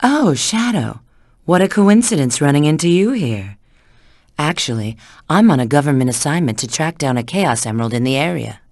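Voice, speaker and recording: A woman's voice: low voice, big pitch swings, normal speed, darkish tone, dry recording, studio quality, exaggerated voice. A woman voicing a sly, flirtatious, confident treasure-hunting agent in a video game, giving hints and callouts with teasing assurance.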